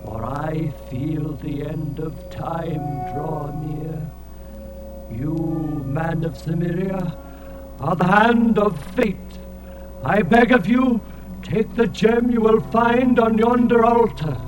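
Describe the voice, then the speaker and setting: Ancient tormented otherworldly being, fantasy audio play: high register, very slow, archaic and mournful, over music. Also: male voice, fairly even intonation, low-quality recording. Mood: anguished, pleading. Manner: archaic, grandiose, lamenting speech. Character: ancient winged alien being, sorrowful, tormented, solemn. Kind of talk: dramatized fantasy audio drama. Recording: music laid under the voice.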